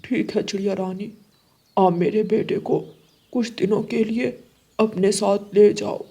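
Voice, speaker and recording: A grieving father pigeon from a cartoon, voiced by a man. The voice is gravelly and husky with a dark tone, subdued and courteous. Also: sits high, fairly even intonation, unhurried, heavily acted. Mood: resigned. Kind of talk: Hindi animated story dialogue.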